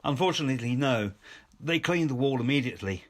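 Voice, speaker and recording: Guy playing victorian police inspector, polite and matter of fact, medium pitch, lots of up and down, audio play style. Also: expressive, brisk, natural voice. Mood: apologetic.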